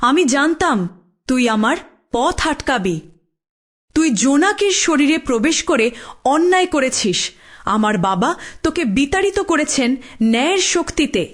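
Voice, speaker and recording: Woman as a high-voiced, brave young heroine. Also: lively intonation, moderate pace, pauses often. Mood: righteous, defiant. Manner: earnest, declamatory, defiant. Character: brave, righteous daughter of an honest occultist. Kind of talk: dramatic horror cartoon voice acting.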